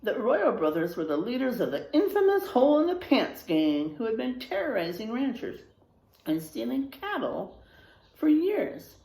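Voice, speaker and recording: A woman reading a children's story aloud in a dramatic, animated narrating style. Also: a little high, normal speed. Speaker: storybook reader. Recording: roomy, echoing sound.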